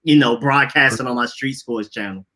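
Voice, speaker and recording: Bright-voiced young Atlanta man, rambling. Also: mid-range voice, moderate pace. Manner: loose, rambling storytelling with slang. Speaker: podcast co-host. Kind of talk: casual chat.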